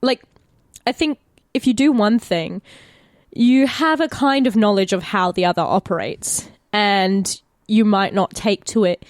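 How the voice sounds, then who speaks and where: A woman's voice: medium pitch, a little slow, dry recording, clean studio sound. An Australian woman in casual podcast chat, speaking informally and hesitantly as she thinks aloud. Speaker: podcast guest, tabletop player and actor.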